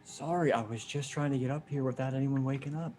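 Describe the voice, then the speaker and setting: A man's voice: mid-range voice, fast talker, darkish tone, a little hiss or hum, lightly acted. A man acting an earnest, worried husband and survivor leader in a horror comic table read, urgent and pleading. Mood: soft, reassuring. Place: remote video call read. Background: music under the voice.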